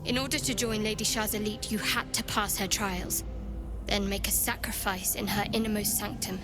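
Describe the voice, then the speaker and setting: A woman's voice: mid-range voice, steady tone, moderate pace, over music, natural voice. An actress playing a guarded, devout young female cleric in a video game, solemn and determined. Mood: reverent, determined. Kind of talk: dramatic video game acting.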